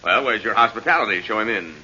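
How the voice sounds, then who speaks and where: An actor playing a smooth, menacing corrupt senator in a 1940s radio drama, speaking in a courtly, unhurried, wry way. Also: male voice, on the low side, lively intonation, lightly acted. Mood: suave, measured. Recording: noisy muffled 1940s radio recording.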